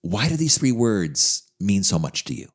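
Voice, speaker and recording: A man hosting a podcast in a studio, speaking in earnest, measured phrases. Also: fairly low pitch, big pitch swings. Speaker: podcast host. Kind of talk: podcast introduction and interview.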